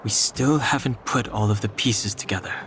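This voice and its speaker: An actor playing a calm, serious young man adventurer in a video game, speaking in a reserved, deliberate way. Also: on the low side, background noise, lightly acted. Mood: analytical. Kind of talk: measured video game dialogue. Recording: music playing behind.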